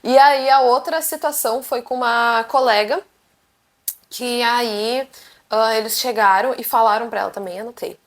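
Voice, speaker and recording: A young woman with a Brazilian Portuguese accent, chatting to camera as a vlogger and telling stories in a lively, animated way. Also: fairly high pitch, normal speed. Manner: animated, chatty, acts out quotes. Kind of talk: casual storytelling to camera.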